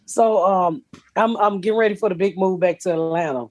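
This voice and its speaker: A middle-aged woman, hosting a podcast in a blunt, profane way and laughing often. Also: fairly quick. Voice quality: deep voice for a woman. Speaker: podcast host. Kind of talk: casual comedic chat.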